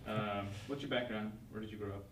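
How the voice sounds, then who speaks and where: Male interviewer, lowish voice, halting questions, heard from across a roomy space with noticeable noise. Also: steady tone. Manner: hesitant, searching questions. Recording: distant, echoey room with background noise.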